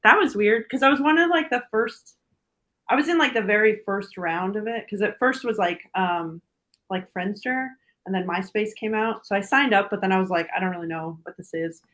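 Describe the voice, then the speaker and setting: Female, 40s, podcast guest. Average pitch, conversational, giggly delivery. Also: moderate pace, fairly bright tone. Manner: giggly, laughs often while talking. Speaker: podcast guest, dancer and influencer. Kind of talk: casual chat.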